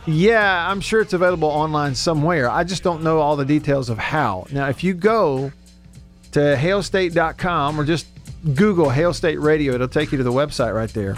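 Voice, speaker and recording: A man's voice: fairly high pitch, moderate pace, soft background music. A man hosting sports radio from a studio in a folksy, rambling, chatty way. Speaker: sports radio show host. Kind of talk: casual chat.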